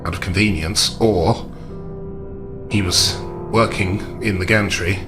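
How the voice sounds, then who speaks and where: Man playing measured, low-voiced gentleman investigator. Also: fairly even intonation, music in the background. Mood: measured, grave. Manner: deliberate, understated, reasoning aloud. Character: measured, analytical gentleman investigator, calm and macabre.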